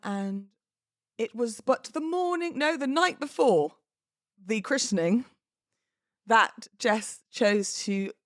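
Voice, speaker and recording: A woman's voice: a little high, lively intonation, takes it slowly, long pauses, no echo, clean studio sound. A British woman hosting a podcast on her own, chatting in a playful, theatrical way. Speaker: podcast host and wedding celebrant. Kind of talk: chatty solo podcast talk.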